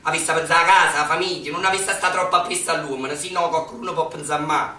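A man's voice: on the high side, fairly even intonation, fast talker, bright, forceful tone, slight background noise. An actor with a Neapolitan accent playing a cautious man worried about what people say, in a radio stage play. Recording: roomy distant stage sound with music.

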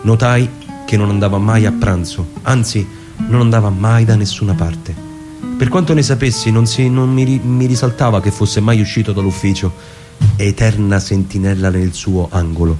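A man performing a dramatic scripted narration in a theatrical, musing manner, with deliberate pauses. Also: on the low side, steady tone. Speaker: performer reading a literary narration. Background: plucked guitar music.

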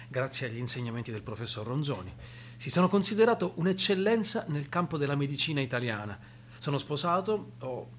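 An actor playing a murdered young male doctor who tells his own story in a measured, deliberate radio drama monologue. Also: mid-range voice, slight background noise, close to an everyday voice.

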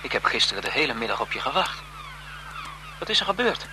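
Submissive young lover, played by an actor: eager, pleading, hesitant, hugely varied intonation, noisy vintage radio drama sound. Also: male voice, mid-range voice, sing-song, quick pace, natural voice. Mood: anxious, reproachful. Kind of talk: 1970s Dutch radio drama acting.

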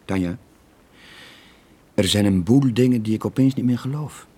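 An actor playing a weary, disillusioned middle-aged former sailor in a radio drama, terse and resigned. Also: male voice, fairly low pitch, moderate pace, warm tone, husky. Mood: disillusioned, probing. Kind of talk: 1980s Flemish radio drama acting.

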